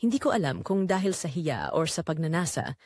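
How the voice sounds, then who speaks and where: A woman narrating as a guilt-ridden, secretly longing mother in a scripted audio drama, with a measured, confessional delivery. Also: sits low, lively intonation, darkish tone, no echo, clean studio sound. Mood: guilty, wistful.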